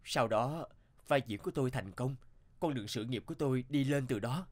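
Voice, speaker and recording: A man's voice: medium pitch, brisk, loud music behind the voice, natural voice. A man voicing an earnest, humble young male hero in a cartoon, in both dialogue and storytelling. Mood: reflective, sincere.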